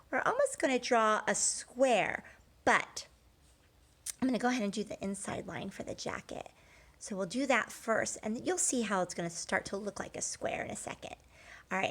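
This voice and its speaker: A woman's voice: mid-range voice, a bit bright. Woman teacher guiding a children's drawing lesson. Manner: encouraging, conversational, checks in often with "okay?" and "right?".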